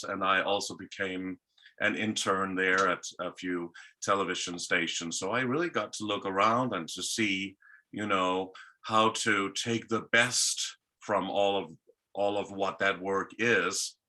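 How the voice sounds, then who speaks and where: A man's voice: sits low, steady tone, measured pace, sharp tone. A man with a Danish accent, a guest telling his life story in a rambling, casual interview. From Denmark. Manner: rambling, with many restarts.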